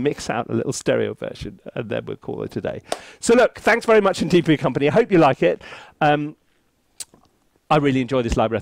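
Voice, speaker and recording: A man chatting casually as he hosts a software review, with an animated, theatrical and self-mocking manner. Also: fairly high pitch, vocal fry, dry recording. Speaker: composer and reviewer, video host.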